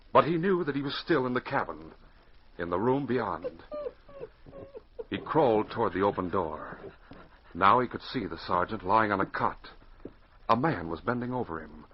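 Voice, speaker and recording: Male 1940s radio announcer-narrator, North American, dramatic and highly inflected, on a muffled, hissy old recording. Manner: dramatic, emphatic.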